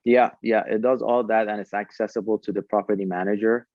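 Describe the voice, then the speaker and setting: Low-voiced man explaining his product. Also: fairly even intonation, brisk, dry recording, highs cut off. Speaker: startup founder, podcast guest.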